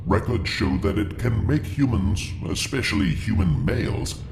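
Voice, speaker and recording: An actor playing a cold, imperious alien commander in an audio drama, speaking in formal, stilted phrases. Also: male voice, on the low side, lively intonation, moderate pace, vocal fry, echoey room, noisy background. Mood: probing, puzzled. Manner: formal, clipped, stilted speech without contractions.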